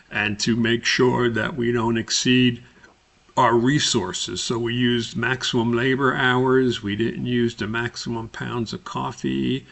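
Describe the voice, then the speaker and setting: A man narrating a step-by-step software tutorial as an instructor, explaining things in a deliberate, somewhat hesitant way. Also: fairly low pitch, creaky.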